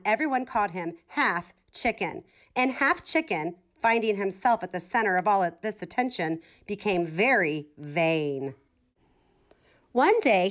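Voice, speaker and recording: A woman reading a children's storybook aloud in an expressive style. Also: mid-range voice. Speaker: storybook reader.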